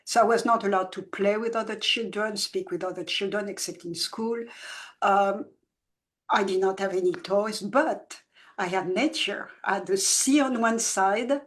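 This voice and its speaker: A woman in her seventies who grew up in France, a children's book author talking in an interview in a soft, halting way with many restarts. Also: mid-range voice, fairly even intonation. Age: 70s. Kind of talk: reflective conversational interview.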